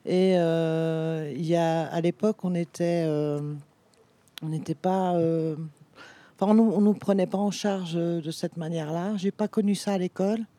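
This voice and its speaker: A woman in her fifties, originally from France, joins a radio discussion. Her voice is mellow and dark, and she speaks haltingly, with many fillers. The sound is dry and close. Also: on the low side, steady tone, dry recording. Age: 50s. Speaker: guest.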